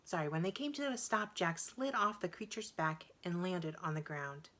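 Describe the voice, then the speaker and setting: A woman reading a children's adventure story aloud in an expressive, dramatic narrating style. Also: lowish voice, moderate pace. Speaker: storybook reader.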